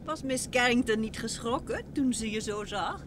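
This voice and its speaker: Vintage radio play: female actor for a chatty, curious wife, expressive and conversational. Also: fairly high pitch, moderate pace, background noise, close to an everyday voice. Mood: curious, playful. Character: sociable, curious wife making polite conversation.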